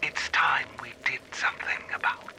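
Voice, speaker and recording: A man's voice: normal speed, lightly acted. An actor voicing a rapturous, deranged male surgeon, theatrical and dreamy, sometimes sinking to a whisper in a game audio diary. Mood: entranced. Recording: very noisy, crackling audio-diary recording.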